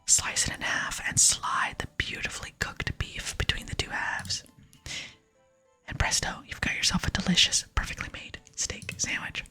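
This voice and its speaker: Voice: moderate pace, pushed and bright, faint music behind. A person voicing a proud, grumpy video game cook who scolds in a whisper. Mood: proud, bossy. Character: gruff, proud cook guarding the kitchen, quick to scold.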